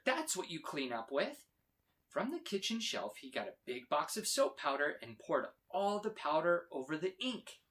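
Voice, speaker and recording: A man reading a children's story aloud with expressive, dramatic emphasis. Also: a little high. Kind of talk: animated read-aloud narration for children.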